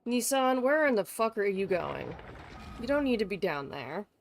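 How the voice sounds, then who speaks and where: A woman's voice: medium pitch, sing-song, moderate pace, slight background noise. A woman livestreaming a game, chatting in a casual, rambling way with playful teasing and some swearing. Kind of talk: casual gaming stream chat. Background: music in the background.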